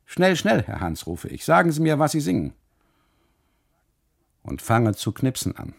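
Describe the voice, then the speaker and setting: A man performing a scripted literary radio monologue as a first-person narrator, with dramatic, deliberate phrasing. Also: on the high side, huge pitch swings, vocal fry. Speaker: actor narrating as a photographer husband.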